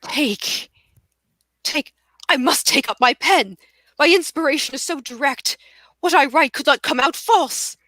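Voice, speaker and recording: A woman reading the part of a self-absorbed male poet, wooing grandly with dramatic pauses in a play table read. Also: high voice, pushed and bright, no echo. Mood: inspired, grandiose.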